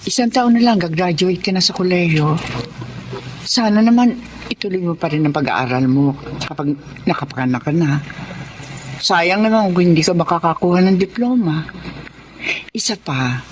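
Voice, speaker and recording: A woman's voice: on the low side, normal speed, long pauses, close to an everyday voice. Woman acting caring schoolteacher wife, faint background noise. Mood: earnest, advising. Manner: earnest, nurturing, advising. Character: caring, practical middle-aged schoolteacher wife and mother. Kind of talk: Tagalog radio drama acting.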